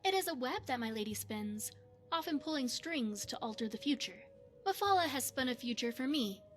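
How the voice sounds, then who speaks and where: A woman voicing a poised, secretive retired spy and mage in a fantasy game, speaking in a measured, formal, coy way. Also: high-pitched, expressive, soft background music. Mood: devout, measured. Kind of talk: scripted fantasy game character dialogue.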